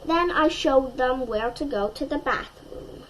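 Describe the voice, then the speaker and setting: A woman storyteller reading a children's picture book aloud in an expressive way, addressing young listeners. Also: high-pitched, measured pace, slight background noise, poor audio.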